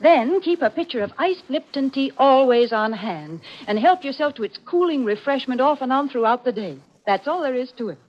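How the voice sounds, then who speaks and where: A woman's voice: high-pitched, animated, normal speed, few pauses. A woman playing a cheerful American sponsor's spokeswoman, selling in chipper 1940s radio advertising style. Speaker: commercial spokeswoman. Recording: muffled vintage radio recording.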